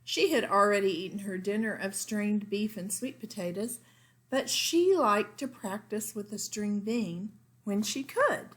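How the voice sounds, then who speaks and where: Lady teacher reading a kids book out loud, real slow, medium pitch, chatty asides. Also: animated. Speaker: teacher reading aloud to young children. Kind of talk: storybook read-aloud with casual asides.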